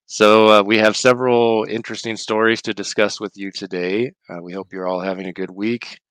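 A man with a low voice hosts a religious news roundup. He sounds slightly off from a cold and speaks in a measured, earnest manner. The recording is dry, with very little room sound. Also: little pitch movement, fairly bright tone, no room sound. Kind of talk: hosting a live-streamed religious news roundup.

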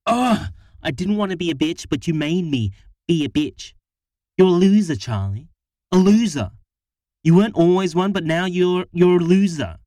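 A man voicing an exasperated woman who is fed up with her partner, with sharp, frustrated outbursts. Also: mid-range voice, unhurried, long pauses, dry recording, studio quality, far from the mic, close to an everyday voice. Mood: angry.

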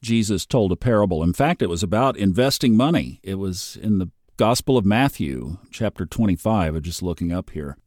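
A man's voice: low voice, animated, moderate pace, few pauses, darkish tone, no echo, studio quality. A man in his fifties hosting a daily astrology podcast, chatty and upbeat, laughing easily. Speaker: podcast host.

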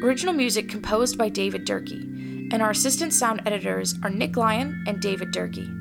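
Creaky woman announcer over loud music. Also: mid-range voice, a little fast. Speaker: credits announcer. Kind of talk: scripted narration.

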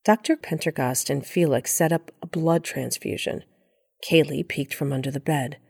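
A woman's voice: low-pitched, normal speed, gravelly, a little mellow, husky, no echo, studio quality. A woman reading scripted narration for an audio drama.

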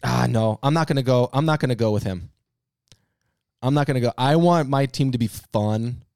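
A man's voice: mid-range voice, normal speed, darkish tone, clean tone, no echo, clean studio sound. A man co-hosting a casual sports banter podcast, talking in a rambling, emphatic, slangy way. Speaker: podcast co-host.

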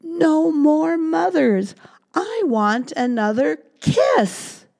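A tiny baby boy mouse, put on by a woman reading aloud, wailing in a shrill, crying voice. He pitches his cries very high above a normal speaking voice. It is a storybook character told for small children. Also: takes it slowly, vocal fry, darkish tone, full character voice. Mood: demanding.

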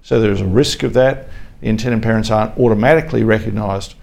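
An Australian man hosting a podcast as a lawyer, explaining informally in a halting, measured way with frequent pauses. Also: on the low side, gravelly, a little mellow. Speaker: podcast host, family and fertility lawyer. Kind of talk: informal explanatory monologue with anecdotes.

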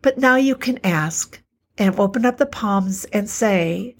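Slightly hoarse woman, earnest and slow. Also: medium pitch, animated. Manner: earnest, repetitive, slows for emphasis. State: a little hoarse. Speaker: podcast host, alternative health practitioner. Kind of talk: reflective solo talk.

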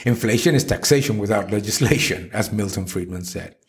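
A man's voice: lowish voice, brisk. A man reading an opinion article on economics aloud in an emphatic, argumentative manner. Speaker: article narrator.

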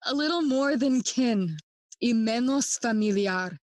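A woman's voice: a little high, animated, unhurried, no echo. A woman playing a bitter, sardonic young grieving prince in a bilingual Shakespeare audio play. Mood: bitter, dry.

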